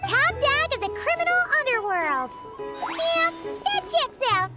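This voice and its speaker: A woman voicing a tiny, cheerful, childlike fairy companion in a video game, squeaky, excitable and cheeky. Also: measured pace, heavily acted. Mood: excited. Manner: excitable, refers to self by name. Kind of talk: video game character acting. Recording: loud game music behind.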